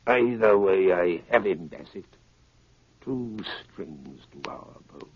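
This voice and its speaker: A husky, low-voiced criminal mastermind. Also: male voice, expressive, no room sound, exaggerated voice. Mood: coldly gloating. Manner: slow, deliberate, imperious. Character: menacing male criminal mastermind, coldly scheming, commanding his henchmen. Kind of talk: 1930s radio acting. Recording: dull vintage 1930s radio recording.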